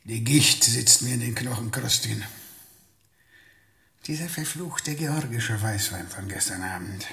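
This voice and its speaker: An actor playing a grumbling, aching secret police official in a German radio drama, gravelly, in an echoey room. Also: male voice, mid-range voice, measured pace, pushed and bright. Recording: echoey room with faint noise.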